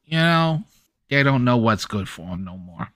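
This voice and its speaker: A cranky old undead frog king, put on by a man, gripes in a colloquial, grumbling way. The voice is bright and forceful, made for a tabletop game. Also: mid-range voice, big pitch swings, normal speed, dry recording, clean studio sound. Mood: griping. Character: grumpy old undead frog-folk king, a severed head.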